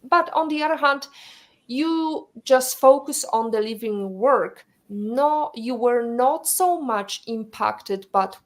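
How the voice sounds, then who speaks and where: Slow, searching woman hosting a live stream. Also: a little high. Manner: searching for words, many fillers. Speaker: host.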